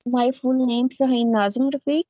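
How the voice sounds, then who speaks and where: A woman's voice: high-pitched, fairly even intonation, normal speed, warm tone, dry recording. A woman with a Pakistani accent, answering practice test questions in hesitant, simple beginner English. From Lahore, Pakistan. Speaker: English learner, test candidate. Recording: dull, dry online call audio.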